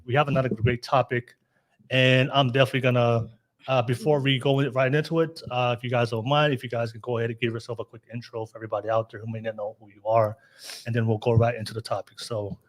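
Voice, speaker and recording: A man with a Californian accent hosts a live podcast in a casual, upbeat way. He laughs easily, throws in lots of fillers and often restarts what he is saying. His voice sits at an ordinary middle pitch. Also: level tone, quick pace. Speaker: podcast host.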